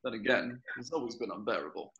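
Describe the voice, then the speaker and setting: A man's voice: mid-range voice, fast, a bit bright, lo-fi sound, close to an everyday voice. A man playing a dignified, gloomy, touchy castle ghost in a haughty, mournful way for a script read. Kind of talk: table read of a film script.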